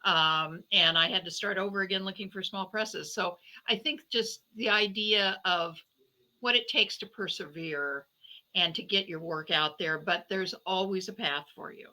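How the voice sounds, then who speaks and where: Bright, sharp-voiced woman giving interview answers. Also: on the low side, moderate pace, low-quality recording. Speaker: guest novelist and podcaster.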